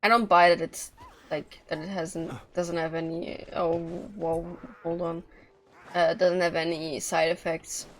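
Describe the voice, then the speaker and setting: Low-pitched woman streaming a game. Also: little pitch movement, a little slow. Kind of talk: casual live game commentary. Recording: faint game music behind the voice.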